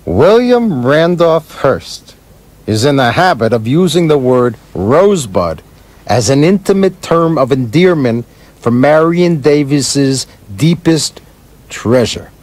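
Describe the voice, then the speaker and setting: An actor playing a cynical, wisecracking veteran screenwriter in a radio play, sardonic and needling. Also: male voice, a little high, sing-song, slow pace, darkish tone. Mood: gleeful, needling.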